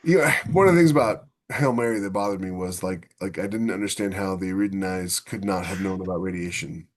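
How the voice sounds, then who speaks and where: A man in casual discussion as a podcast host, somewhat halting as he thinks aloud. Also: sits low.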